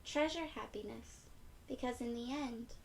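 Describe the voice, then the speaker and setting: A woman reading a picture book aloud to young children in a gentle, encouraging way, speaking directly to them. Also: fairly high pitch, normal speed, vocal fry, a little hiss or hum, poor audio. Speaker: children's read-aloud storyteller.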